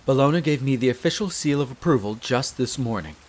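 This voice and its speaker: A man's voice: mid-range voice, lively intonation, lightly acted. Man playing a formal, devoted male butler. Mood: earnest, slightly worried. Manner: formal, deferential, measured and polite. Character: courteous, devoted male wolf butler, head servant of vampire castle. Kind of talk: scripted roleplay audio drama.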